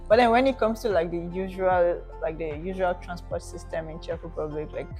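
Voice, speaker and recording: Brief: woman host, Yoruba native, lowish voice, curious and chatty, faint music under. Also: fairly even intonation, a little mellow. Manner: curious, chatty, quick to react with exclamations. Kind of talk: casual chat.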